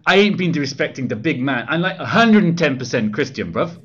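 A woman's voice: low-pitched, big pitch swings, brisk, husky, exaggerated voice. A woman playing a cocky young male Galileo as a brash lad with London street slang, in a comedy sketch. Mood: defensive, earnest. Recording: dull, lo-fi audio.

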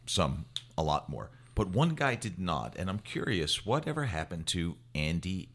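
Bright, low-pitched male sports host. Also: big pitch swings, moderate pace. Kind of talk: conversational sports interview.

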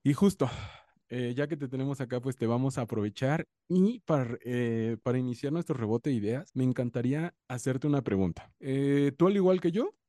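A man from the coast of Guerrero hosts a podcast interview, friendly and effusive. His voice is very dark and mellow. It sounds dry and close, with no room echo. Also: mid-range voice, lively intonation, normal speed. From Mexico, Guerrero coast. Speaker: podcast host.

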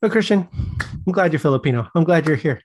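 A young adult man hosting a casual podcast chat, friendly and encouraging, with quick fillers. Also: a little high, lively intonation, a little mellow. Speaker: podcast host.

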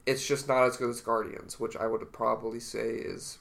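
A man's voice: mid-range voice, steady tone, moderate pace, creaky, a bit bright. A young man with a stuffy, blocked nose co-hosts a podcast. His talk is casual and rambling, full of hesitations and easy asides. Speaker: podcast co-host. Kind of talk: casual chat.

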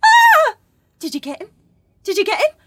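A performer playing an excitable young boy in an audio drama, acting out cops and robbers with mouthed gunshots. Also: extremely high pitch, normal speed, slight background noise, full character voice. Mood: excited play.

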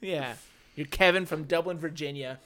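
A man's voice: fairly high pitch, moderate pace, background noise. A man co-hosting a comedy podcast, riffing jokes and laughing often. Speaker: podcast co-host. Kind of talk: casual comedic chat.

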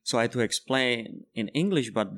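A man in his thirties from the Czech Republic, a bartender guest in an interview, speaking English as a second language and telling animated stories with laughter. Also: mid-range voice, normal speed. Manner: animated storyteller, laughs while telling anecdotes. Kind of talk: casual interview storytelling.

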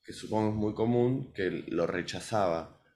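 Man, early twenties, lowish voice, Buenos Aires accent, casual interview guest, storytelling. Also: normal speed, warm tone, slight background noise. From Buenos Aires, Argentina. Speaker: musician guest.

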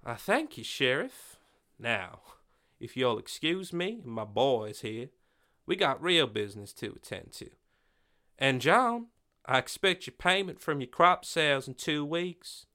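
A man's voice: mid-range voice, very animated, a little slow, bright, forceful tone, pitched above the performer's own voice. A man voicing a smug, wealthy male landowner who bullies his sharecroppers in a Western story. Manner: smug, oily.

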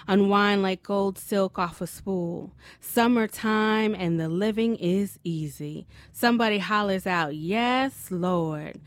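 Middle-aged American woman, medium-pitched voice. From Silver Spring, Maryland, USA. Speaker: writer, interview guest. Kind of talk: story reading and casual studio interview. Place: studio.

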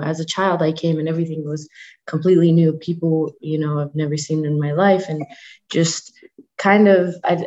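A woman's voice: low voice, darkish tone, lo-fi sound. A woman from Afghanistan who grew up in the US, speaking earnestly in an interview with many you-knows. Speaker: refugee agency staff member. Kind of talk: news interview.

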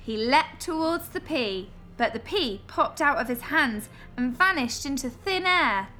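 Unhurried woman storyteller over music. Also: high-pitched, animated, bright, forceful tone. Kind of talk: expressive children's storybook narration.

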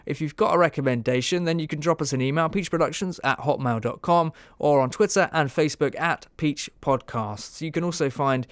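A man's voice: fairly high pitch, fairly quick, few pauses, dry recording. A man hosting a podcast, casually giving thanks, plugs and sign-offs. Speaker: podcast host.

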